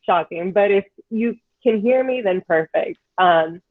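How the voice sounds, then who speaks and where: A woman's voice: mid-range voice, a little slow, dry recording. Chatty woman on muffled video call. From Dominican Republic. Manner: chatty, informal, light nervous laughs. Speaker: guest speaker. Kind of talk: casual talk to a congregation.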